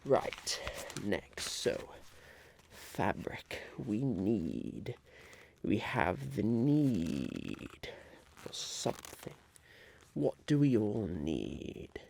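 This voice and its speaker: Eccentric scheming businessman, acted by a man, ASMR roleplay: low and creaky, halting and musing, faint noise behind. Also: very animated, darkish tone, exaggerated voice. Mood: pondering. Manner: slow, halting, musing, repeats phrases.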